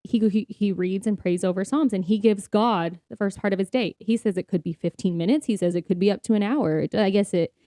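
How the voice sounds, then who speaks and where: A woman with a soft, mellow tone talks as a podcast co-host in a casual faith conversation. She explains things earnestly and informally, drawing on personal examples. The sound is dry, with very little room in it. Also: medium pitch, brisk, hardly any pauses, no echo.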